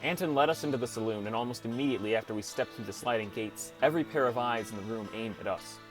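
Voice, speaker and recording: A man's voice: medium pitch, quick pace, close to an everyday voice, low-quality recording. An actor playing a downcast teenage boy who narrates his gaming adventure in an understated, wry first-person audiobook style. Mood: reflective narration. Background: music.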